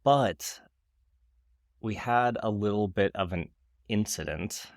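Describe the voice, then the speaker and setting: A man in his forties telling a story in casual podcast chat, animated and laughing often. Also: fairly low pitch, takes it slowly, creaky, dry recording, clean studio sound. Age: 40s. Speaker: podcast co-host.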